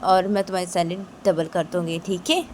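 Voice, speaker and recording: A woman's voice: lowish voice, steady tone, brisk, a little mellow, a little hiss or hum, pitched below the performer's own voice, natural voice. A woman voicing a wealthy mother who is a firm boss, questioning sharply and reassuring her employee. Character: strict wealthy mother, female boss, firm but kind.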